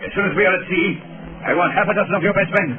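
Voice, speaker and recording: An actor in 1930s radio drama style playing an arrogant, menacing spy ringleader who sneers and barks commands. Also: male voice, sits high, racing, a crowd in the background, natural voice. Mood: imperious. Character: arrogant, threatening male spy ringleader villain. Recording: tinny, band-limited 1930s radio recording with background clamor.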